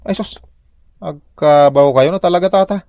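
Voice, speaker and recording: A man's voice: fairly high pitch, moderate pace, a little mellow, no echo, close to an everyday voice. A man playing a scheming, gluttonous village husband in an Ilocano comedy drama, blustering and evasive. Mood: greedy urgency.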